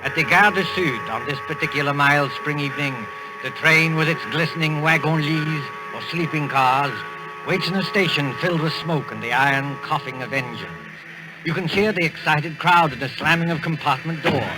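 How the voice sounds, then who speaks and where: A male announcer narrates a vintage radio thriller. His slightly high voice is measured and full of suspense, with music clearly playing behind him on an old recording. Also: low-quality recording. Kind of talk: dramatic 1940s radio narration. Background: dramatic music.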